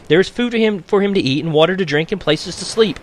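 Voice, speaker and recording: A man's voice: sits high, a little fast, a little hiss or hum, pitched above the performer's own voice, lightly acted. Man playing a stern chief with raised voice. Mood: firm, insistent.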